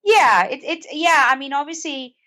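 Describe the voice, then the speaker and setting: A woman's voice: high voice, normal speed, pushed and bright. A woman with a British accent speaking as an interview guest, earnest and conversational, often repeating words as she goes. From United Kingdom. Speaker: podcast guest, author and mental health advocate.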